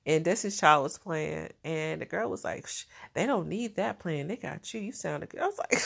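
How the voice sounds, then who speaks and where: Low nasal chatty woman, stuffed up. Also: expressive, normal speed, creaky, breathy edge. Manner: chatty, laughs often. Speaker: vlogger. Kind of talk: casual diary vlog.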